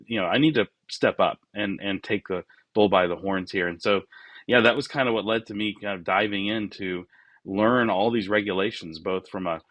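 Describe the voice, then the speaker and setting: A man of forty-nine talking casually and reflectively as an interview guest, often voicing his remembered thoughts in a halting way. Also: fairly low pitch, a bit bright, dry recording. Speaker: podcast guest, business founder.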